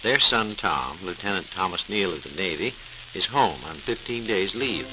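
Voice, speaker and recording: A man narrates a scripted science program in 1940s radio style. His voice is lowish with lively rises and falls, over clear hiss and crackle. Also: moderate pace. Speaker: research engineer presenting a science program.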